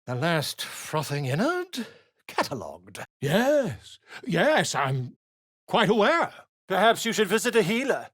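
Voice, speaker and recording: A pompous, flamboyant middle-aged author in a video game, nosy and fussy. His husky voice swoops animatedly up and down as he talks in florid, wordy phrases and wheedles. The sound is close and dry. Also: high-pitched, wildly swooping pitch, unhurried, fairly bright tone, dry recording, heavily acted.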